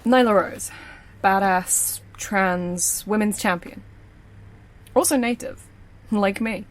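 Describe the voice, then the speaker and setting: A woman's voice: medium pitch, slow, close to an everyday voice. A woman playing a confident, observant security operative in an audio drama, with a blunt, dry way of talking. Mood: curious, keen. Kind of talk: naturalistic audio drama acting.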